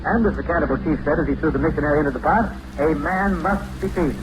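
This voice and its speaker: A man's voice: fairly high pitch, fast talker, natural voice. An actor playing a pompous, fatherly older elevator captain in an old-time radio comedy. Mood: fatherly, solemn. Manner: pompous, earnest, speechifying. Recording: noisy old radio recording, steady hiss.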